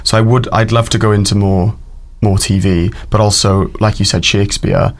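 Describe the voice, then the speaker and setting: A man's voice: fairly low pitch, fairly even intonation, normal speed. A man, an actor, talking about his work as a guest in a casual radio interview, thoughtful and modest with some hesitant restarts.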